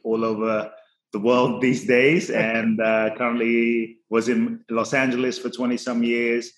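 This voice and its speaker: A man's voice: mid-range voice, flat delivery, measured pace. Earnest man in a roomy echo. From Ghana, raised in London. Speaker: podcast guest. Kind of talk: reflective storytelling.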